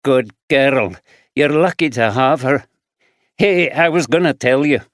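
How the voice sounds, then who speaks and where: A man voicing a grubby, gruff homeless Glaswegian man who coughs and rambles, with a Glaswegian accent, in a storybook reading. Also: medium pitch, a little slow, dry recording, clean studio sound, lightly acted. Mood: weary.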